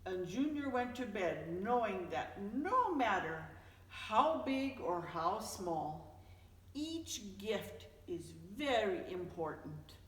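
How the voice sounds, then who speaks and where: A woman reading a children's picture book aloud as a storyteller, in an expressive, deliberate manner. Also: medium pitch. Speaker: storyteller, host of a story time. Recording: echoey, reverberant room, distant mic.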